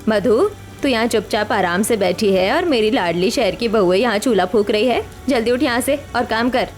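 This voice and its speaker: A woman acts out a domineering mother-in-law from a family cartoon. Her voice is fairly high and she scolds with open contempt and sharp commands. Soft music plays behind her. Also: normal speed, natural voice. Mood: angry, commanding. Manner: bossy, sharp-tongued. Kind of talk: Hindi family cartoon story acting.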